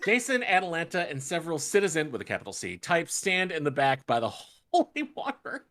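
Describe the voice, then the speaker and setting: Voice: mid-range voice, very animated, a little fast, fairly bright tone. A co-host reading a script's stage directions aloud and breaking off with sarcastic asides. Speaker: table-read narrator and co-host.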